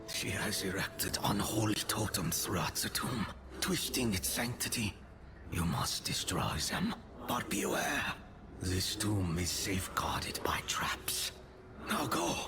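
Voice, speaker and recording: A man voicing the solemn, weakened spirit of an ancient conqueror king in a fantasy game, breathy, grave and stately. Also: lowish voice, very animated, music in the background, exaggerated voice. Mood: commanding, weary. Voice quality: breathy, whispery. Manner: grave, dramatic, slow and stately.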